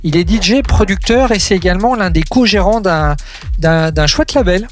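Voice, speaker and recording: A man's voice: high voice, lively intonation, normal speed, slight background noise. A man hosting a radio interview, asking friendly, conversational questions. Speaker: radio host.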